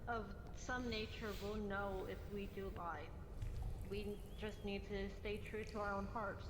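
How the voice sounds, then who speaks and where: A woman improvising in-character role-play, speaking haltingly and pausing mid-sentence. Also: medium pitch, steady tone. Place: voice chat in virtual reality game. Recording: echoey, long reverb, faint background noise.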